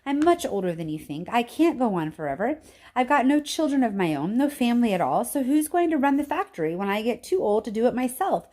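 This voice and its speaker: A woman's voice: on the high side, sing-song, fairly quick, warm tone, pitched above the performer's own voice. A woman voicing an eccentric, eager old male factory owner with an emphatic, cheerful, insistent way of talking in a storybook reading. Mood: earnest, confiding. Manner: emphatic, exclamatory.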